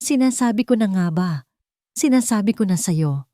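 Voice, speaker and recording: A woman's voice: medium pitch, expressive, normal speed, dark tone, no room sound, studio quality, pitched above the performer's own voice, lightly acted. A woman voicing a cold, scheming elderly mother-in-law in an audio drama, manipulative and urgent. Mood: tearful, agitated.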